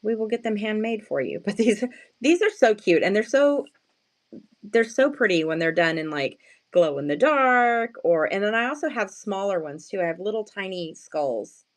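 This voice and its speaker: Slightly hoarse woman, casual live-sale chat. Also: medium pitch, animated, normal speed. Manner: self-deprecating, playful slangy wording; chatty, greets and thanks viewers often. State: just woken up, sleepy.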